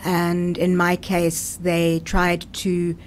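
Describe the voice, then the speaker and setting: A woman's voice: on the low side, completely flat delivery, very slow, slight background noise. A middle-aged woman with a South African accent, speaking as an interview guest and telling her own story conversationally.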